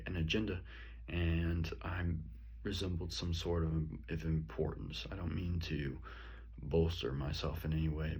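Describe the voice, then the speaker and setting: Slow, halting young man with a deep voice. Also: husky. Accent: rural twang. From rural area. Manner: slow and halting, many ums and restarts. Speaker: student. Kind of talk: spoken self-reflection on a speech. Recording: dull lo-fi recording.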